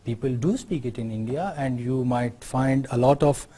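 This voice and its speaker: A middle-aged man with a Pakistani accent giving an unscripted university lecture, often pausing on fillers and checking in with "right?". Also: mid-range voice, dark tone. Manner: unscripted explaining, many fillers, tag questions like "right?".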